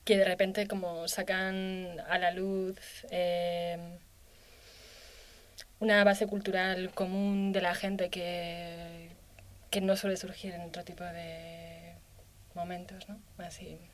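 Low, flat-voiced woman on radio. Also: slow pace. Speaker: discussion guest. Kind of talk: radio round-table discussion. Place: radio studio.

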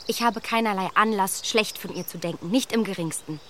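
Self-assured young gentlewoman played by an actress. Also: mid-range voice, a little fast, slight background noise, lightly acted. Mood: candid, critical. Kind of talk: German radio drama acting.

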